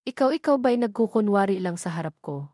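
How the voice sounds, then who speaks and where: A woman's voice: medium pitch, expressive, a little fast, mellow, dry recording, natural voice. Filipino woman doing a feisty scolding college girl for an audio romance, dry recording. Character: feisty, scolding young female university student. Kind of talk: audio story dialogue.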